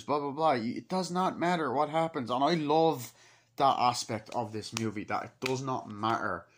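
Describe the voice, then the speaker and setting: A man in his twenties giving a rambling, informal, sweary movie review, excitable and breaking into acted-out snatches of dialogue. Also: a little high. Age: 20s. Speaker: amateur film reviewer. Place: small wood-panelled room indoors. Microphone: laptop microphone at close range.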